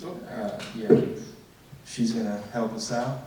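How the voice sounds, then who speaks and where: A man's voice: mid-range voice, steady tone, slow, a little mellow, slight background noise. A man with a Texan accent hosting a live podcast on a comedy club stage, laid-back and slipping into Spanish slang. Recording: echoey club from a distance.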